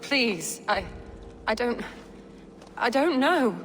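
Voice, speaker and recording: A woman's voice: fairly high pitch, lively intonation, slow, fairly bright tone, big-room sound, soft background music. An actress playing a frightened but noble-hearted Saxon servant woman in a video game, pleading and faltering. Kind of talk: dramatic video game acting.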